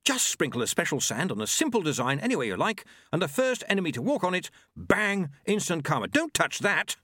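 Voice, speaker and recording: A man acts out an excitable old monk who invents things, in a storybook reading. The voice is pitched high and swings about eagerly as he proudly demonstrates. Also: a little fast, slightly rough, no room sound, clean studio sound, exaggerated voice.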